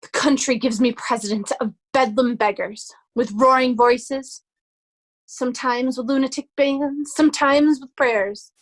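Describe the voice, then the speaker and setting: A woman playing a hunted young nobleman in a Shakespeare soliloquy, in deliberate, measured verse. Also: high voice, steady tone. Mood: grimly determined. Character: hunted young nobleman resolving to pose as mad beggar.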